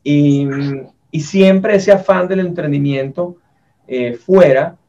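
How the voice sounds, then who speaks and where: A man's voice: fairly high pitch, slow pace, mellow. A Venezuelan-accented man in his forties telling stories casually, in a colloquial way with frequent tag questions. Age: 40s. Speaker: interviewer, entrepreneur. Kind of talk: casual conversational storytelling. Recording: muffled, distant from the microphone.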